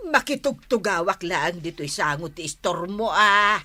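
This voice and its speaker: An actress playing a nosy, indignant village gossip in an Ilocano radio comedy drama, with an Ilocano accent and exclaiming banter. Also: female voice, fairly low pitch, expressive, normal speed, bright, forceful tone, dry recording. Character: nosy, gossiping village woman who lingers at the store.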